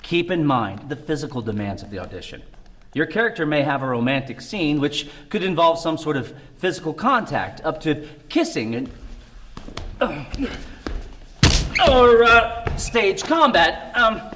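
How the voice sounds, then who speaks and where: A man's voice: fairly high pitch, big pitch swings, unhurried, pushed and bright. A man with a Midwestern American accent, a co-host reading out scripted audition tips in short, list-like steps. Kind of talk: scripted instructional talk.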